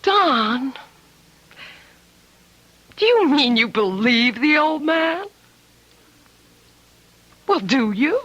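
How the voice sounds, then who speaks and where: An actress playing an eager, persistent, practical-minded wife in an old-time radio drama, coaxing and questioning. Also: female voice, high voice, sing-song, extremely slow, long pauses, fairly bright tone, muffled recording, heavily acted. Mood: curious, questioning.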